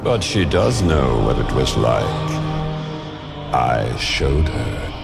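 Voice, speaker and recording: An actor playing a sinister, sly devil who taunts cruelly in a gravelly, gloating voice. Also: male voice, rumbling low, sing-song, exaggerated voice. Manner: slow, gloating. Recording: loud music over the voice.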